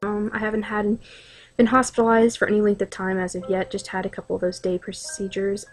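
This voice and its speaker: A woman giving personal testimony with measured, articulate phrasing. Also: medium pitch, monotone, slightly rough, low-quality recording. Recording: music behind the voice.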